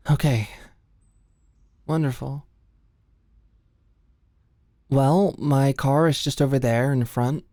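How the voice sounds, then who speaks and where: A woman's voice: rumbling low, slow pace, pauses often, dark tone, no room sound, clean studio sound. A woman playing a flustered, lovestruck office ex-employee, hesitant and rambling, in a scripted ASMR audio roleplay. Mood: flustered, eager.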